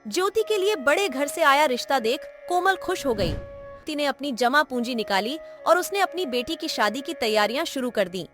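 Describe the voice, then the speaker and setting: A woman narrator reading a scripted moral story. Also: high voice.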